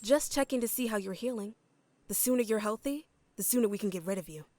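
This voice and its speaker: A woman's voice: mid-range voice, fast talker, darkish tone, close to an everyday voice. A woman playing a cold, contemptuous captor in an audio drama, scornful and controlled. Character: cold, contemptuous woman guarding a hated captive.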